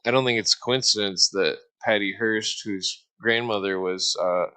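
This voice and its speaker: Man, slightly low pitch, sharp bright tone, rambling casual talk, halting and stammering. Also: fairly even intonation. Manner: halting, stammers over words.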